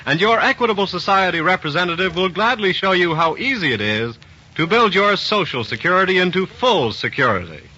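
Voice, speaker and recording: An American man working as a radio announcer, delivering a polished, emphatic 1940s sponsor pitch. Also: pushed and bright, breathy edge. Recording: dull, band-limited 1940s radio broadcast. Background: music.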